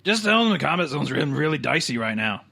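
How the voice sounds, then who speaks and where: A man's voice: a little high, sing-song, fast talker, creaky, sharp tone, no room sound, clean studio sound. A man playing a tabletop game, improvising casual dialogue in character.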